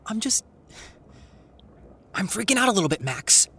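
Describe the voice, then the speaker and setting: A woman's voice: medium pitch, very animated, normal speed, pushed and bright, slight background noise. A woman playing an anxious, wry, sarcastic teenager in a naturalistic audio drama.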